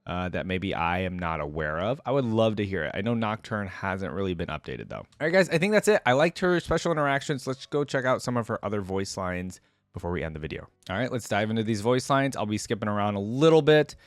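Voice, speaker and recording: A woman's voice: a bass voice, sing-song, fast talker, no echo. A woman hosting a casual reaction video, chatty and musing aloud into a close mic.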